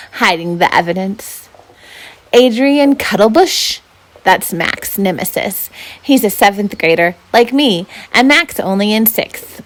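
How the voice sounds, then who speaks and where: A woman's voice: mid-range voice, fairly bright tone. Woman reading a children's novel aloud. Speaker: volunteer story reader. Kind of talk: expressive children's novel narration.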